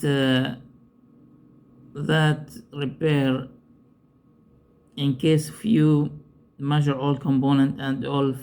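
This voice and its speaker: Slow, monotone man explaining a repair. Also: medium pitch. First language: not English. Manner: halting, repeats himself, searching for words. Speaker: repair tutorial presenter. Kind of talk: unscripted hands-on tutorial explanation.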